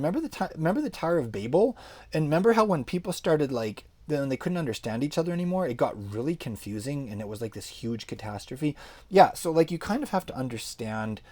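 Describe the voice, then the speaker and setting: Male instructor, soft relaxed tone, mid-range pitch, conversational lecture delivery with pointed asides. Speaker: Hebrew language teacher. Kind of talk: informal explanatory lecture, reviewing a book.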